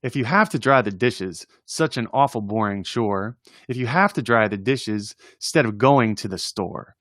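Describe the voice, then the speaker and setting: A man's voice: fairly low pitch, expressive, moderate pace, a bit bright, no room sound, studio quality. A man reading humorous children's poems aloud in an informal, chatty, amused way, as a story-time presenter. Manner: informal, chuckling, chatty asides between readings.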